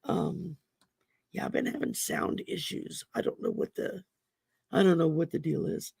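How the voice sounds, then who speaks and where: A woman with a gravelly voice from damaged vocal cords. She hosts a casual livestream, chatting and reading oracle cards in a folksy way with many ums. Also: on the low side, steady tone, moderate pace, darkish tone, no echo, studio quality. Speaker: host and oracle card reader. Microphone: computer mic on a livestream.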